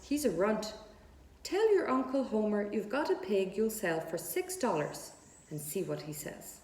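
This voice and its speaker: A woman's voice: mid-range voice, very animated, a little slow, a little mellow, reverberant. A woman reading a storybook part as a firm, matter-of-fact farmer father.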